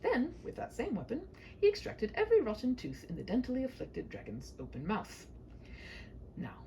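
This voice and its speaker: A woman reads a comic fairy tale aloud as storyteller. Her pitch moves animatedly and her delivery is theatrical and mock-grand, over faint background noise. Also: a little mellow, low-quality recording. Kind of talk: humorous scripted story narration.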